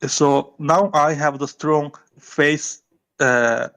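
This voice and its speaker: A Korean man calling in to a live stream, speaking English with a Korean accent, halting and stammering. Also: fairly high pitch, fairly even intonation, a bit bright, clean tone. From South Korea. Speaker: caller.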